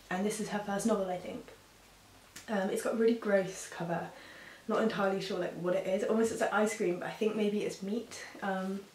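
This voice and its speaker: Husky woman with a sore throat. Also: mid-range voice, darkish tone. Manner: chatty, frequent ums, upbeat about books. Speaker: book reviewer. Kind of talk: casual chat to camera. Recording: roomy echo with faint hiss.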